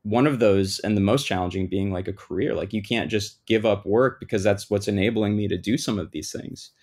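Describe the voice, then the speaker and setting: Male guest, low register, easygoing, rambling interview storyteller. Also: a little fast. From Lebanon. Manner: relaxed, lots of "like" fillers. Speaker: guest, thru-hiker.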